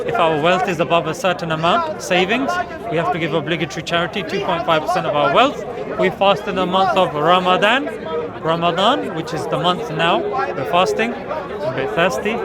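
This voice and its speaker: A man's voice: high-pitched, lively intonation, brisk. A man, patiently explaining his beliefs step by step in a debate with visitors in a busy outdoor park. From England. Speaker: street preacher debating visitors. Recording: loud crowd noise outdoors.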